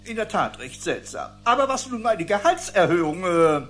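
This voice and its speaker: An actor playing a stiff, deferential, politely persistent butler in a cartoon radio play, formal and hesitant. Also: male voice, high voice, very animated, normal speed, fairly bright tone, soft background music. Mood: dry, hesitant. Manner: formal, hesitant, throat-clearing.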